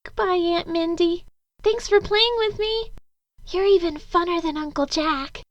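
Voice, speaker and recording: An excited little girl in an audio drama, acted by a woman. Her voice is extremely high and playful, captured in a slightly dull, lo-fi recording. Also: a little slow. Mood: pleading, affectionate.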